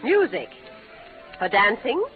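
An actress playing a prim, indignant older aunt in a radio Western, fussy, scolding and easily alarmed. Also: female voice, mid-range voice, big pitch swings, slow pace. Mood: disapproving. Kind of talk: 1940s radio western acting. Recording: tinny old radio recording, music behind.

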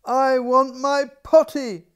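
A man's voice: very high-pitched, flat delivery, slow pace, smooth, voice pushed up. An elderly man voicing a stubborn little princess who protests indignantly in a storybook. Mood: urgent. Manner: exclaiming, protesting.

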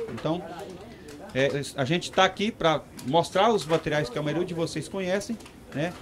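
A man in his thirties, a company representative, speaking informally into a handheld mic under an outdoor tent, explaining and checking in with his listeners. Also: a little high. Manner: fast, explanatory, many tag questions. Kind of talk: informal talk to farmers.

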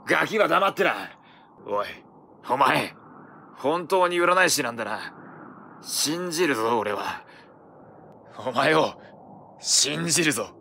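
Audio drama tough male actor: slow, creaky, intense villager, gruff in temper, faint noise. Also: fairly high pitch, expressive, pushed and bright, heavily acted. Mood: grim. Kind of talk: Japanese audio drama acting.

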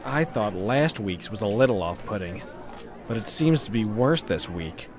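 A man's voice: fairly low pitch, lively intonation, normal speed, lightly acted. A man voicing a nerdy, analytical teenage boy with formal, precise speech in a game dub. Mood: studious. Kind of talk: game fandub acting. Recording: cafeteria crowd noise behind.

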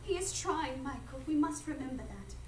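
A woman acts an anxious, determined young heroine of a radio play. Her voice is high and earnest, heard a little far off in an echoey stage space. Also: animated, normal speed. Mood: gentle, wistful. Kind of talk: live radio drama acting. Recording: echoey live stage, distant, faint noise.

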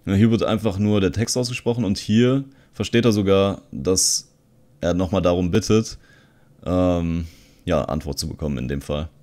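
Low-pitched man, casual tech presenter. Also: moderate pace, a little mellow. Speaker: video host, content creator. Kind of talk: casual screen-recording tutorial commentary.

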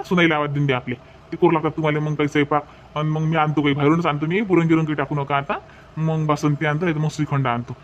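Fast-talking, practical village uncle played by a man. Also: fairly high pitch, close to an everyday voice. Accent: Varhadi Marathi. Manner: insistent, matter-of-fact. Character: practical, hospitable village uncle, firm husband. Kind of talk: cartoon comedy dialogue. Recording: dull lo-fi sound, faint noise.

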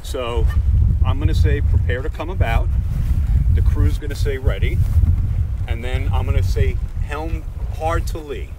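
A middle-aged man instructs patiently, pausing on ums and restarting his sentences. He is recorded from a distance on a moving sailboat. Heavy wind and water noise runs underneath. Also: medium pitch. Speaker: sailing instructor. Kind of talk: on-camera explanation aboard a boat. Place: outdoors on a sailboat on open water.

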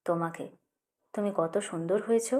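A woman's voice: fairly low pitch, moderate pace, darkish tone, lightly acted. A woman voicing a dreamy, moody young man in an audiobook story. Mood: tender, earnest. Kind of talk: audiobook character dialogue.

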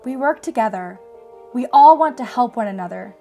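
A woman's voice: on the high side, lively intonation, normal speed, dark tone, soft background music. A woman's voice delivering an earnest speech.

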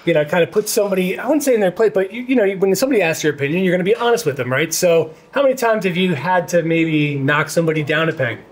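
Fast-talking chatty male interviewer. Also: high-pitched. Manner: chatty, quick, loose phrasing. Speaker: co-host interviewer. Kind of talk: casual chat.

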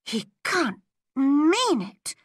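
A woman voicing a spirited, proud, quick-tempered young girl in a storybook, with emphatic, emotional delivery. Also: high voice, lively intonation, pushed and bright, dry recording, clean studio sound, pitched above the performer's own voice, heavily acted. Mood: despairing.

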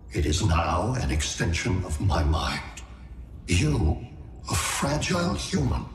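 A man voicing a cold, superior alien android conqueror in a video game, deliberate, menacing and imperious. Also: low voice, echoey room. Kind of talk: video game cutscene acting.

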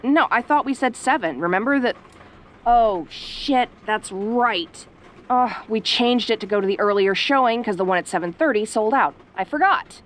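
A woman's voice: a little high, animated, moderate pace, natural voice. Woman acting sassy, flustered tomboy girlfriend. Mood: flustered, apologetic. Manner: casual, defensive, sarcastic, hesitant when sentimental. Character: sassy, flustered tsundere tomboy daughter on date night. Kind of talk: audio roleplay acting.

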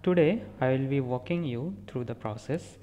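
A speaker giving a step-by-step software walkthrough as a voice-over, calmly talking through each click and sometimes correcting a word mid-sentence. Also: mid-range voice, normal speed. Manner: steady step-by-step instructions with small self-corrections. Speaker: tutorial instructor. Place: voice-over recorded apart from the screen capture.

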